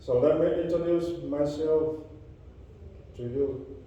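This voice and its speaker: Older Vietnamese man, halting broken English. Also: fairly high pitch, fairly even intonation, dark tone, slight background noise. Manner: halting, repeats and restarts words in broken English. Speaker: refugee telling his story. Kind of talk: personal testimony to an audience. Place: room with listeners. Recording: dull, roomy sound from a distance.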